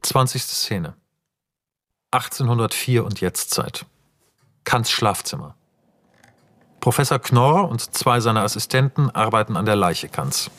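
A man narrating screenplay directions in a radio drama, in a measured, matter-of-fact way. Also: on the low side, lively intonation, a bit bright. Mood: measured, sober. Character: sober narrator reading out screenplay scene directions.

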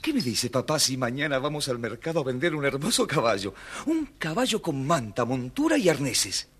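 An actor playing a cocky, eager young son with magic powers in a theatrical children's audio story. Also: male voice, mid-range voice, very animated, normal speed, slightly rough. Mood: dismissive.

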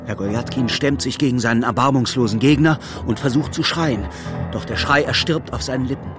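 An actor narrating a literary radio play as a wry storyteller, telling events vividly in the present tense. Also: male voice, medium pitch, a little fast, over music, lightly acted. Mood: dramatic, urgent. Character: wry, measured male storyteller of a literary tale. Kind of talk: scripted dramatic narration in a German radio play.

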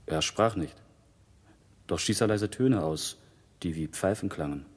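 Grim tired gravedigger, really deep voice, measured, big reverb, old radio play. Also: male voice. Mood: sombre recollection. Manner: sombre, measured storytelling. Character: weary gravedigger of plague-time London, grimly recalling. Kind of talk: 1960s German radio play acting. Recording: long hollow reverb.

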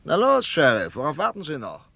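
An actor playing a confident, commanding FBI captain in an old radio crime drama. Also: male voice, fairly high pitch, wildly swooping pitch, gravelly, pushed and bright, heavily acted. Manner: commanding, brisk. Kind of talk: vintage radio drama acting.

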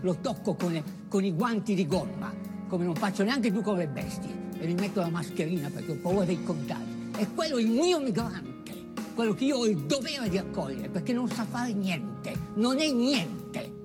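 Impassioned, expressive woman over music. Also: mid-range voice. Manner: emphatic, deliberate, repeating phrases for effect. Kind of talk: impassioned theatrical monologue.

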